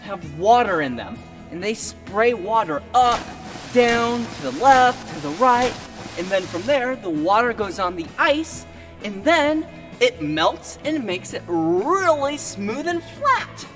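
A man's voice: very high-pitched, very animated, slow pace, a bit bright, faint music behind. A young man hosting a children's educational show at an indoor ice rink, full of exclamations and giggles. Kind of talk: animated educational narration for toddlers.

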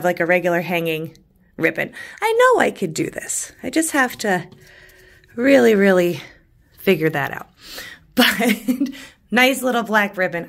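A woman with a froggy, hoarse voice chats through a craft tutorial while working at a coffee table in her living room. She is self-deprecating and laughs at her own mistakes. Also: mid-range voice. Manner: chatty, self-deprecating, giggly. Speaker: craft vlogger. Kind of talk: casual DIY tutorial narration.

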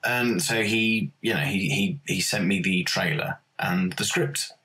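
A man's voice: sits low, unhurried, pushed and bright. A man, a composer, talking casually in an interview about his own work. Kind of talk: casual behind-the-scenes reminiscence.

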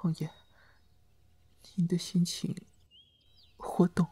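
A performer playing a meek, devoted young male servant in a Chinese costume audio drama, soft, timid and pleading. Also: mid-range voice, level tone, slow, husky, whispered. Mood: timid, tender.

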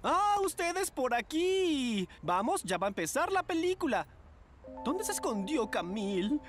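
A man's voice: extremely high pitch, very animated, unhurried, full character voice. An actor voicing a flamboyant, exasperated male manager in a cartoon dub, fussy and theatrical. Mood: brisk, wry. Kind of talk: animated cartoon dub acting. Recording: loud music behind.

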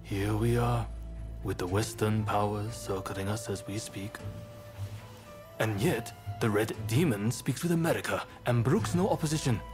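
An actor playing an earnest, composed samurai strategist in a video game, measured and serious. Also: male voice, lowish voice, close to an everyday voice. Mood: earnest, grave. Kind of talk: video game cutscene acting. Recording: faint music behind the voice.

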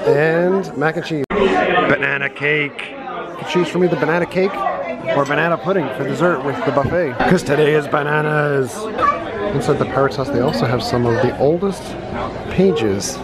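A man's voice: on the high side, normal speed. A man in his forties giving a wry, chatty running commentary as he tours sights on a trip, filmed on a handheld camera. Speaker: travel vlogger. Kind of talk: casual travel vlog narration. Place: busy indoor restaurant. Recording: noisy restaurant crowd around him.

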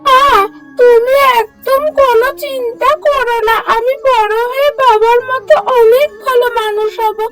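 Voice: very high-pitched, steady tone, unhurried, over music. A performer voicing a whiny, loving little village boy in a cartoon story. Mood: earnest tearful. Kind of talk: cartoon voice acting.

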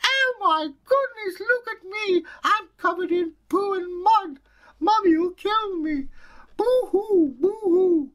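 A man voicing a silly, easily distraught little girl in a folktale, in a shrill, whiny falsetto. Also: slow pace, a bit bright, exaggerated voice. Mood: wailing, distraught.

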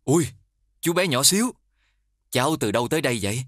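An actor voicing a poor, worried peasant father in an animated fairy tale. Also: medium pitch, brisk, dry recording, clean studio sound. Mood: gentle, worried.

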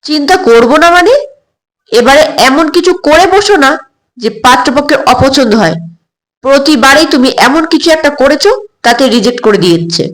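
A woman's voice: high voice, animated, moderate pace, fairly bright tone, rough recording. A woman voicing a worried, exasperated middle-aged mother in an audio story, insistent and scolding.

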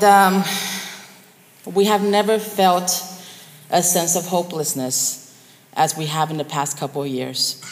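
Female public commenter, thirties to forties, slightly low register, firm formal reading, strong hall reverb. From South Texas, United States. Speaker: parent speaking at public comment. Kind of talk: formal prepared speech, read out. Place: large indoor board meeting room. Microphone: gooseneck podium microphone. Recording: echoey, reverberant meeting hall.

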